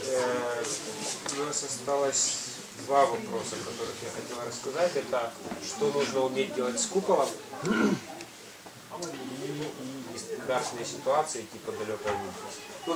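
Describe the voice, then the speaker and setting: Young male lecturer, informal, halting delivery, distant mic, echo, group noise. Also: medium pitch. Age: young adult. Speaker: seminar lecturer, parachute instructor. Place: indoor equipment hangar with small audience. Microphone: camera some metres away.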